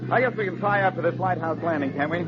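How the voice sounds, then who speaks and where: An actor playing a wisecracking, self-assured amateur detective with a dry bantering manner, in 1940s radio drama style. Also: male voice, a little high, fast, natural voice. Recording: muffled, noisy old radio recording.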